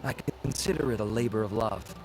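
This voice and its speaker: A man's voice: fairly low pitch, fairly quick, music in the background, close to an everyday voice, poor audio. A man playing a courteous, vain beauty researcher in an anime game, speaking in a polite, self-assured, formal way. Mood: gracious.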